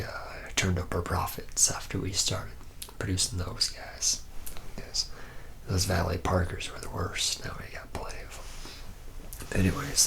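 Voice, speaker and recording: A man's voice: a bit bright, exaggerated voice. A man playing a jaded, boozy factory worker in an ASMR roleplay, rambling in a hesitant, sardonic drawl that often drops toward a whisper. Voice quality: gravelly, low, partly whispered.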